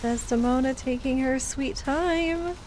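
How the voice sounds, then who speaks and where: A woman's voice: sits high, measured pace, a little mellow, slight background noise. A woman livestreaming a video game, giving casual commentary and chatting to her viewers. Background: faint video game sound.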